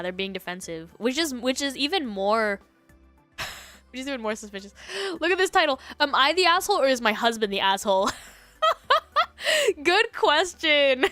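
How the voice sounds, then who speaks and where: A woman hosting a livestream, chatting casually and reacting to online stories in a giggly, animated way. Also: high-pitched, dry recording. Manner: giggly, animated, breaking into laughter. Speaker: livestream host.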